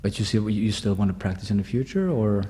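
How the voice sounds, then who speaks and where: A man's voice: fairly low pitch, lively intonation, fairly quick, mellow. A man hosting a casual interview, asking loose questions full of fillers and restarts. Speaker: host.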